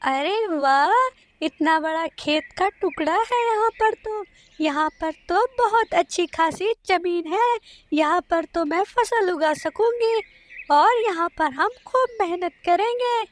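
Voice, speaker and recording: A woman's voice: very high voice, expressive, takes it slowly, exaggerated voice. A woman voicing a hopeful, earnest young female bird in a children's cartoon. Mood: hopeful, determined. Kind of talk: Hindi children's moral cartoon dubbing.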